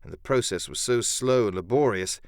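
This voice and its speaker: A man's voice: lowish voice, moderate pace, dry recording, clean studio sound. A man narrating an adventure audiobook in the first person, with theatrical, literary storytelling.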